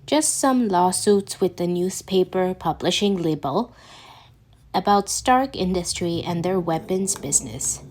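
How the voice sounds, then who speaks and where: A woman reading fan fiction aloud as an amateur narrator, with a halting delivery that often stumbles and corrects itself. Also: on the low side, expressive, darkish tone. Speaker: podfic reader.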